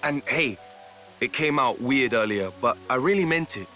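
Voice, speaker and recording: An actor with an English accent playing a blunt, easygoing, warm-hearted young fighter in a video game cutscene, with colloquial, straight-talking delivery. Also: male voice, medium pitch, lively intonation, normal speed, faint music behind. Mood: relaxed, reassuring.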